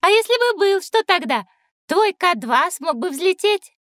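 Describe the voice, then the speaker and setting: A woman's voice: very high voice, big pitch swings, measured pace, dry recording, studio quality, heavily acted. A woman playing a sweet, inquisitive, easily delighted little girl who coos and questions in a children's audio drama. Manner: bright-eyed questioning, cooing.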